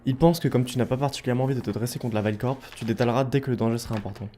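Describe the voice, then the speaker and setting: Man playing a mellow, earnest adventuring leader. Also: mid-range voice, very fast, slight background noise, lightly acted. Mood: firm, serious. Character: level-headed male group leader, loyal, firm peacemaker. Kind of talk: French audio fiction acting.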